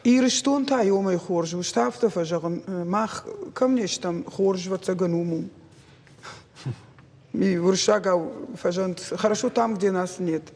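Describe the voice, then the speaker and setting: High-pitched husky man in a reverberant space. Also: a little slow, darkish tone. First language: Ossetian. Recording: very echoey, reverberant room.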